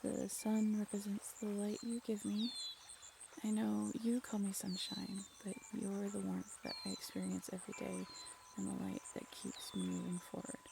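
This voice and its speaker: Woman acting as tender grateful sweetheart, unhurried, slightly creaky voice, intimate roleplay audio, faint ambient noise. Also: medium pitch, completely flat delivery. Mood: reading tenderly. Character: tender, grateful birthday celebrant, affectionate partner of a werewolf. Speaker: voice actor.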